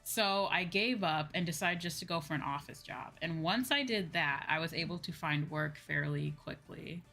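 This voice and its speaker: Low-pitched young woman chatting over music. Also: moderate pace, vocal fry, sharp tone. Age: young adult. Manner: rambling, informal, repeats phrases for emphasis. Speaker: VTuber livestream host. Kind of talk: casual livestream chat. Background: background music.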